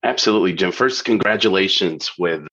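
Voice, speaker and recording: A man's voice: low voice, a little fast, sharp tone, muffled recording. A man from Peru, a guest on a business podcast, answering questions in an eager, gracious way. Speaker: guest, DEI leader. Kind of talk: interview answers.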